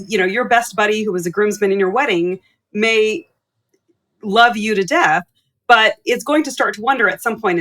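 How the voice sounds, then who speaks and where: A woman's voice: medium pitch, fairly bright tone. A woman co-hosting a business podcast, asking reflective, candid questions of her guest. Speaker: podcast co-host. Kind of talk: conversational podcast interview.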